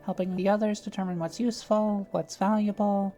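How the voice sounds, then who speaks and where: A woman playing a gentle, patient young alchemist who explains things calmly and matter-of-factly in a fantasy audio drama. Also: medium pitch, fairly quick, lightly acted. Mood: explaining, friendly. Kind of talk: fantasy audio roleplay acting.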